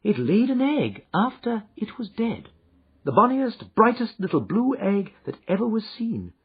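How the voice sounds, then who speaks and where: A self-assured detective , played by a male reader, speaks in a suave, cheery, slightly raised voice. His delivery is lively and a little husky. The storybook recording is dull and muffled. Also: sits high, measured pace, pitched above the performer's own voice, exaggerated voice. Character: calm, confident male detective.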